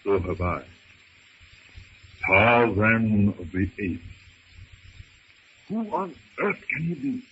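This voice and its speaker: An actor playing an earnest, courteous young gentleman castaway in an old-time radio drama, polite and thoughtful. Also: on the low side, sing-song, slow, long pauses, full character voice. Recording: muffled, hissy old radio recording.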